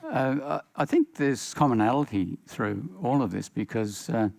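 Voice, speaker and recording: An older man with an Australian accent speaking on a panel, pausing often with fillers. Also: medium pitch, animated, vocal fry, a little mellow, dry recording. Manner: halting, many uh fillers. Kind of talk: panel discussion.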